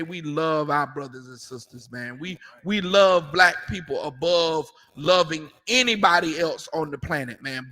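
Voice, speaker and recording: A man's voice: a little high, pushed and bright, long reverb tail. A man giving a confrontational sermon as a street preacher, emphatic and repetitive, with mocking asides.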